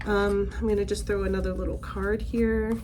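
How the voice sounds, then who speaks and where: A middle-aged woman talks through an oracle card reading in a loose, chatty way, full of fillers and restarts. Her voice is a little raspy after a recent bout of laryngitis. A faint hum of room noise sits behind her. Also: mid-range voice, flat delivery, normal speed, a little mellow. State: slightly raspy, recovering from laryngitis. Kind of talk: casual spiritual card reading.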